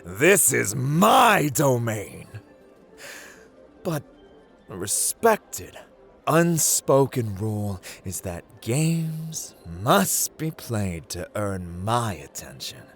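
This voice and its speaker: A man playing a playful, arrogant god of luck in an ASMR roleplay, with a teasing, drawling, condescending manner and dramatic pauses. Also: mid-range voice, sing-song, creaky, a bit bright, soft background music, full character voice. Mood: proud, menacing. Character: playful, arrogant god of luck, amused and dangerous gambling master. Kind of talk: ASMR roleplay, theatrical monologue to listener.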